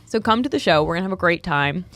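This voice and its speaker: A woman's voice: on the low side, animated, fast, fairly bright tone, dry recording. Playful American woman plugging her show. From United States. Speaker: guest comedian. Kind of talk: casual comedy podcast chat.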